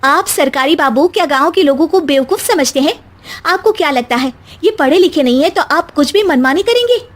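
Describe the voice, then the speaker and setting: A woman's voice: sits high, expressive, fairly quick, pitched above the performer's own voice. A woman voicing an outspoken, educated young daughter-in-law in a cartoon story, emphatic and protesting. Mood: indignant. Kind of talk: animated Hindi story dialogue.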